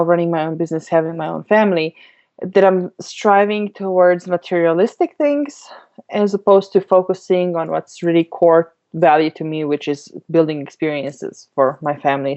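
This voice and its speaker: Brief: woman in her thirties, podcast guest, lower voice, muffled lo-fi sound, chatty with lots of ums. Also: darkish tone. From Slovenia. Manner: chatty, laughs easily, many "um"s. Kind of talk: podcast interview. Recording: dull lo-fi audio.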